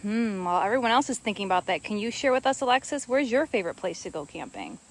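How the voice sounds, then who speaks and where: A woman's voice: medium pitch, big pitch swings, quick pace, a little hiss or hum. A woman hosting a children's read-along in casual chat, asking encouraging questions in a teacherly way. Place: outdoors on a marshy trail.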